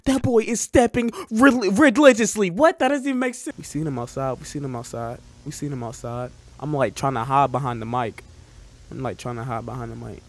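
A young adult man giving casual, excitable reaction commentary in a jumpy, slangy, joking and rambling way, close on the microphone. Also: mid-range voice, a little fast. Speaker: reaction video host. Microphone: close microphone at a desk. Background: music from the watched videos.

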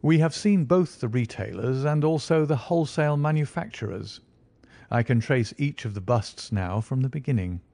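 A man reading an audiobook, voicing a cool, measured male detective who speaks with quiet confidence. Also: mid-range voice, creaky, no room sound, pitched above the performer's own voice. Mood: calm, assured. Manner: calm, dry, quietly confident. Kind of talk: audiobook character voice.

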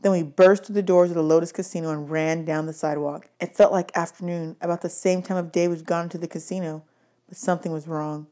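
A woman reading an audiobook aloud in an expressive storybook style. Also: fairly low pitch, a little fast. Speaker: audiobook reader.

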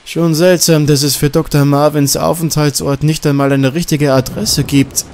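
Audiobook role: doubting, insistent woman reporter with a teasing edge, pitched up by a male reader. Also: level tone, moderate pace, darkish tone, natural voice. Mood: wondering. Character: sceptical woman journalist, gently mocking and uneasy. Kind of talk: audiobook dialogue.

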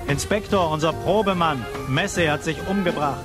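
An actor playing a weary, matter-of-fact head lighthouse keeper in a German radio crime drama. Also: male voice, on the high side, moderate pace, music in the background, lightly acted. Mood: urgent, grave.

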